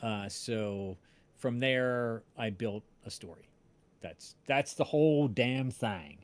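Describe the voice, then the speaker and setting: A man telling a story casually on a livestream, rambling and often interrupting himself. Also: medium pitch. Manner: rambling, halting, self-interrupting. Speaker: podcast creator and voice-drama maker.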